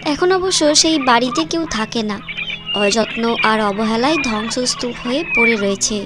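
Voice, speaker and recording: A woman's voice: fairly high pitch, moderate pace. A woman narrating a scripted cartoon story as the storyteller. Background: music.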